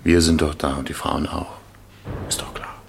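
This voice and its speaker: An actor playing a plain-spoken, consoling male workmate in a German radio crime drama, with a gravelly voice. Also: a bass voice, flat delivery, moderate pace, background noise, exaggerated voice. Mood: reassuring. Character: steady, consoling male workmate of the dead husband.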